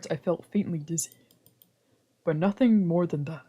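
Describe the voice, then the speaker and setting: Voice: mid-range voice, moderate pace, warm tone, husky, dull audio. Streamer reading a visual novel aloud in first person, with whispered asides; mid-range voice. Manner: reads narration in first person, translating lines, with whispered asides. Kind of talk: reading game narration aloud.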